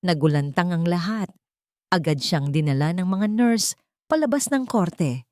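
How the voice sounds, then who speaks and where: A woman's voice: fairly low pitch, lively intonation, unhurried, darkish tone, no echo, studio quality. A woman reading a scripted, dramatic story as narrator.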